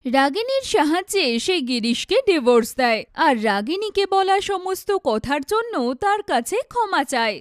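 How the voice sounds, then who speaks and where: A woman's voice: extremely high pitch, very animated, moderate pace, few pauses, no room sound. Woman narrating a cartoon story, dry recording.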